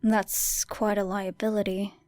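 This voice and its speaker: A woman's voice: mid-range voice, little pitch movement, measured pace, darkish tone, no room sound, close to an everyday voice. Woman playing a guarded, dry teenage girl. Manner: dry, muttering, reserved.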